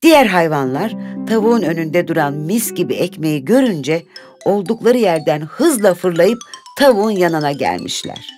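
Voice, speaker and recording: A woman's voice: fairly low pitch, sing-song, normal speed, a little mellow. A woman giving scripted narration of a children's fairy tale. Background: music.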